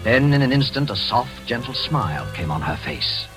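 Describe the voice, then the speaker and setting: A man announcing and narrating a 1940s radio drama with a measured, dramatic delivery. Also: mid-range voice, sing-song, rough recording. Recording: old radio broadcast over music.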